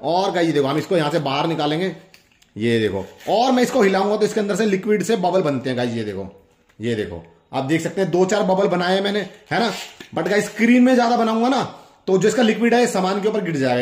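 A man's voice: high-pitched, darkish tone. A young man, chatting casually to viewers as he hosts a hands-on toy unboxing. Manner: chatty, demonstrative, often addresses viewers. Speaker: toy review host.

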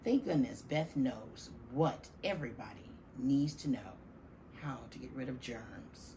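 A middle-aged woman reading a children's picture book aloud, deliberately and with dramatic stress on key words. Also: a bass voice, lively intonation. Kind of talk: expressive children's storybook reading. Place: small classroom, indoors. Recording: faint room noise behind the voice.

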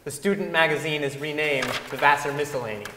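A man with a slightly high voice delivers a dramatic staged reading. The room is very echoey, with a long concert hall tail. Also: quick pace, background noise. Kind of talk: dramatic reading and announced narration. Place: large concert hall stage before an audience.

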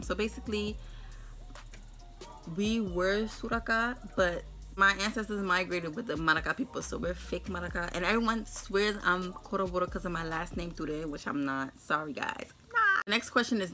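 Young New woman, bright and playful, casual slang, talking straight to camera in her bedroom. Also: mid-range voice. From Brooklyn, New York. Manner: playful, laughs often, slangy. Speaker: vlogger. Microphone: camera in front of her.

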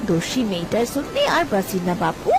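A bossy, concerned village wife and mother played by a woman at an average pitch. Her exclamatory cartoon voice sits over clearly noisy ambience. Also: sing-song, fairly quick, lightly acted. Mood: scolding. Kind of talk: Bengali cartoon voice acting.

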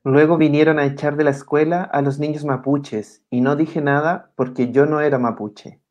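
A man reading lines of a poem in a steady, measured way on a video call. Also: on the high side, dull audio.

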